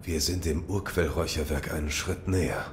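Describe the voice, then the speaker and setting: An actor playing a stoic, loyal shinobi warrior in an audio drama, terse and solemn. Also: male voice, rumbling low, fairly even intonation, moderate pace, a little hiss or hum. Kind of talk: German audio drama acting.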